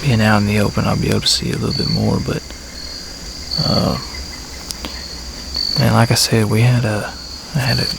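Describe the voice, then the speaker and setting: A man talking casually to camera as a bowhunting vlogger, in a laid-back, halting way, outdoors. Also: lowish voice, little pitch movement, creaky. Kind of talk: casual vlog talk to camera. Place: outdoors in a tree saddle at a pasture. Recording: outdoor ambience with faint insect noise. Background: insects and crickets.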